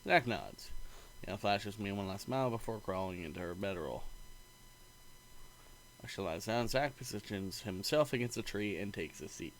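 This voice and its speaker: A man reading a visual novel aloud in an expressive, playful narrating style. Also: on the low side, moderate pace, slight background noise, poor audio.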